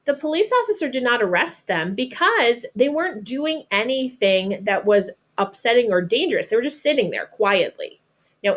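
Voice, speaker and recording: A woman with an American accent reads a picture book aloud like a teacher, pausing to explain things simply and patiently to children. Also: fairly high pitch, slightly rough. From North Carolina, United States.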